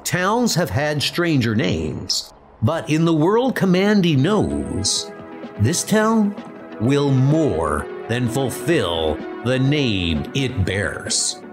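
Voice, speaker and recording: A man's voice: medium pitch, very animated, very slow. A man narrating a comic adventure in a dramatic, scripted style. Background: music.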